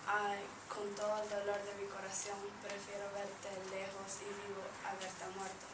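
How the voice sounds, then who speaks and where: Even-toned woman reading, echoey and very noisy. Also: mid-range voice, normal speed. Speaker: narrator reading a first-person life story. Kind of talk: read-aloud personal narrative. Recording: noisy, echoey room, distant microphone.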